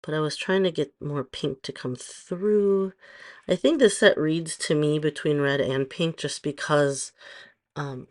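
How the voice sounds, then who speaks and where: A middle-aged woman with a hoarse voice, chatting casually through a nail tutorial and rambling with frequent pauses and ums. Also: deep voice. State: hoarse from allergies. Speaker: nail stylist vlogger.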